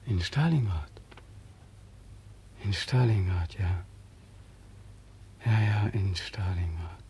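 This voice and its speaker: Broken returned soldier, husky voice, bitter, brooding, anguished, dry close studio sound, 1940s radio play. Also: male voice, fairly low pitch, warm tone, no echo, heavily acted. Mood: stunned, brooding. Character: bitter, haunted returned soldier, a lost man. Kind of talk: 1940s German radio drama acting.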